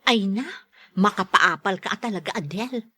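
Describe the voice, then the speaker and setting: A woman's voice: mid-range voice, moderate pace, bright, forceful tone, dry recording. A woman with an Ilocano Filipino accent playing a chatty, teasing, envious friend in an audio drama. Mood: admiring, envious. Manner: gushing, teasing.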